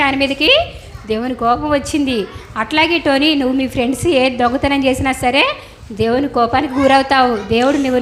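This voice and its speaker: A woman's voice: high voice, a bit bright. A woman Sunday school teacher giving children a moral lesson, instructing and asking questions as she talks with puppets. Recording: clear background noise, ambient sound.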